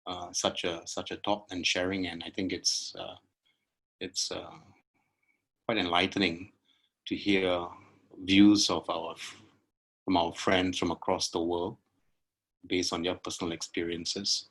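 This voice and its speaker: A middle-aged man from Singapore speaking earnestly on a laptop video call, halting often with uh fillers. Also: low-pitched, little pitch movement, fairly bright tone, slightly rough, rough recording. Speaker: webinar participant. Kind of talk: spoken comment on a video call. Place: indoor room at home. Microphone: laptop webcam video call.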